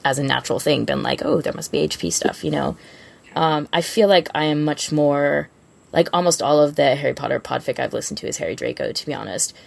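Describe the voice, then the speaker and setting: A woman's voice: low-pitched, vocal fry. A woman co-hosting a casual fan podcast, talking in an effusive, rambling way. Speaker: podcast co-host.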